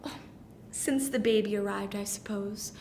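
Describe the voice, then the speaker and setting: A dreamy young mother-to-be, played by a woman, chatters in a high, bright voice. She rambles with naive earnestness, in the manner of a stage play. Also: expressive, normal speed, slight background noise. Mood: wistful. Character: chattering, dreamy, pregnant young Irish bride-to-be. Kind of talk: theatrical stage monologue.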